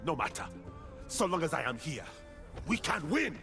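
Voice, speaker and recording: A man's voice: a little high, very animated, normal speed, creaky, over music. A man playing a proud, noble warrior champion in a video game, confident and resolute, delivering stately, declamatory lines. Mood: proud, defiant.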